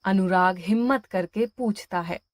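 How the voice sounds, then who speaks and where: Woman reading out a dramatic story, medium pitch, dry close recording. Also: measured pace, no room sound. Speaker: story narrator. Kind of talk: scripted dramatic story narration.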